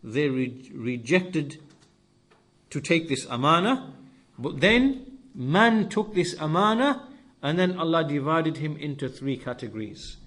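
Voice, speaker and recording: A man with a somewhat high voice delivers a religious lesson. He lays out his explanation in short, deliberate phrases. Key words come back again, repeated for emphasis, as a teacher would do. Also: big pitch swings, a bit bright. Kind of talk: lesson-style sermon explaining scripture.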